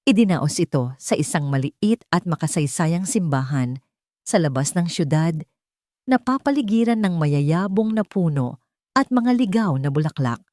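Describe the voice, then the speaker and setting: Low, mellow woman narrating a dramatic story. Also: animated, moderate pace, no room sound, studio quality. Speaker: narrator of romance stories. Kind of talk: dramatic scripted audiobook narration.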